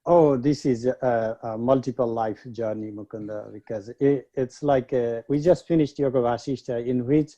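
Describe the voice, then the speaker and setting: Dark-voiced man from Nepal, unhurried. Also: mid-range voice, steady tone, highs cut off. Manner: unhurried, many pauses and fillers. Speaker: guest scholar. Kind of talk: reflective interview talk.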